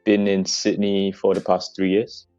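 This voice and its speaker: A man's voice: low voice, completely flat delivery, unhurried, dark tone, no room sound. A young man from Malaysia, a startup co-founder, telling his story casually as an interview guest. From Kuala Lumpur, Malaysia. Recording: dull lo-fi mic, faint music.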